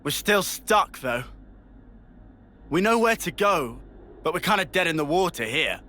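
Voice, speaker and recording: A man's voice: fairly high pitch, expressive, unhurried, bright, forceful tone. An actor with a British accent playing a brash, blunt young male fighter in a video game, slangy and exclamatory. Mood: frustrated.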